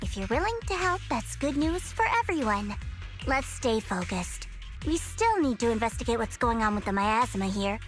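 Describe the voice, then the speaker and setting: A woman's voice: fairly high pitch, sing-song, normal speed, soft background music. A woman voicing a cool, focused young female fighter in a video game. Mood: resigned, focused. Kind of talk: video game story voice acting.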